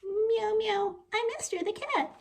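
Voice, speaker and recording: Squeaky-high, sweet little meowing cat. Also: female voice, unhurried. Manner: meowing, sing-song. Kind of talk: children's puppet show.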